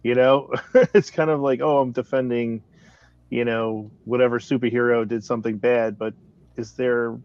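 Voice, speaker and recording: A man's voice: mid-range voice, little pitch movement, moderate pace, darkish tone, highs cut off. A man chatting casually as a panelist, hesitant and rambling as he thinks aloud. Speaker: talk show panelist. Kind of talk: casual chat.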